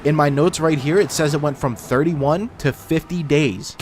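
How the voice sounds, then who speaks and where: A man's voice: on the high side, moderate pace, a little hiss or hum. A man narrating gameplay in a casual, informal way with wry asides, his voice run through a voice filter. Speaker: gaming video host. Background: background music.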